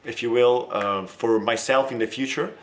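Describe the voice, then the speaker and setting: A man's voice: medium pitch, fairly even intonation, normal speed. A man in his thirties with an Italian accent, talking to camera in a hesitant way with many fillers and restarts. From Italy. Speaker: language teacher and polyglot. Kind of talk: casual talk to camera.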